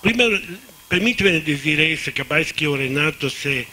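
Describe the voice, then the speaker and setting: A man's voice: on the high side, lively intonation, normal speed, pushed and bright, a little hiss or hum. A man with an Italian accent, a native Italian speaker, giving unscripted remarks as a discussant on a conference panel, often restarting words and slipping into Spanish. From Italy.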